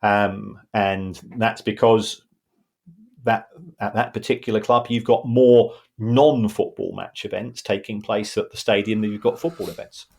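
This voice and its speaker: A man's voice: fairly low pitch, steady tone, measured pace, gravelly, a little mellow, breathy edge. A man co-hosting a podcast, explaining football finance in a casual, talky way, often restarting his sentences. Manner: explanatory, many restarts and repeated words. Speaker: football finance pundit, co-host.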